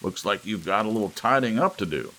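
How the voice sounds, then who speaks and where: A man's voice: fairly low pitch, expressive, a little fast, pushed and bright, pitched above the performer's own voice, exaggerated voice. A man voicing a domineering old grandfather in a storybook, curt and folksy with menace. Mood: icy menace.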